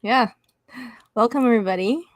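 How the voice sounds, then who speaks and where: A woman's voice: fairly high pitch, dry recording. Casual woman hosting a live-stream panel. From Canada. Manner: casual, halting with fillers. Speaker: host. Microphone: video call.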